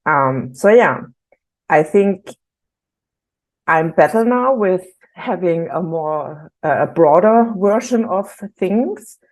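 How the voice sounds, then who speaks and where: Slow, low-voiced woman. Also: expressive, darkish tone, rough recording. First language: German. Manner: thoughtful, hesitant with many ums. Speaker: workshop team member. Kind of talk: casual video-call conversation.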